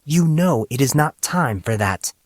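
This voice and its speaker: Guy doing a calm serious otherworldly teen boy for an audiobook, voice pitched up a bit, measured and stern, dry sound. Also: dry recording. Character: calm, cold teenage boy, a grave otherworldly double. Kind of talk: audiobook character acting.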